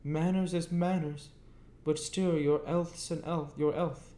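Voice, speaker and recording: Man putting on a simple kindly workman, high pitched, anxious, rustic, earnest, storybook, echoey room. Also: fairly even intonation, slow, dark tone. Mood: worried remonstrance. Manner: earnest, muttering, rustic ungrammatical speech.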